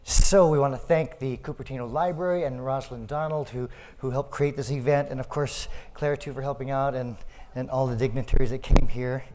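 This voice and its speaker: A man's voice: medium pitch, steady tone, brisk, hardly any pauses, darkish tone. A man speaking to an audience as a live storyteller and performer. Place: community hall performance.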